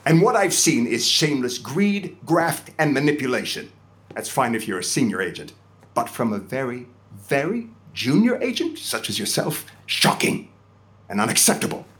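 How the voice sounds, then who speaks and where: An actor playing a suave, indignant senior talent agent with a theatrical, scolding, emphatic manner. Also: male voice, medium pitch, big pitch swings, moderate pace, a bit bright. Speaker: actor in a scripted audio comedy drama.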